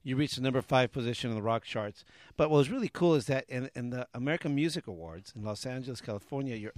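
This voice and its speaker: A man's voice: medium pitch, lively intonation, quick pace, no echo. A man hosting a talk show, interviewing guests and callers in a casual radio style.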